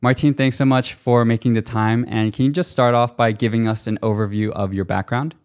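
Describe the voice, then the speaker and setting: A man's voice: mid-range voice, fairly even intonation, brisk, darkish tone, clean tone, no room sound. A young adult man hosting a podcast, asking questions and delivering polished scripted intros. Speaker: podcast host. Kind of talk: interview host with scripted ad read. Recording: dry close mic with faint music.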